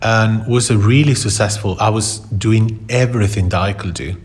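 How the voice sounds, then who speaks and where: A man from Spain with a Spanish accent, telling personal stories in conversation in a halting way, repeating words for emphasis. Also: lowish voice. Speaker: interview guest, photographer.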